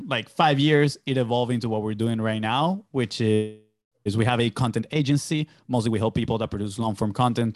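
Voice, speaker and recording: Upbeat young man from Venezuela. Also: medium pitch. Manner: upbeat, loose storytelling with many fillers. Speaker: podcast guest, entrepreneur. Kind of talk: casual interview chat.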